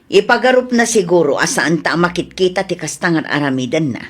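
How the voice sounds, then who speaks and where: A woman's voice: fairly low pitch, animated, fairly quick. A woman acting a suspicious, firm shop owner who probes and questions, in an audio comedy drama. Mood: dismayed. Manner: probing, firm.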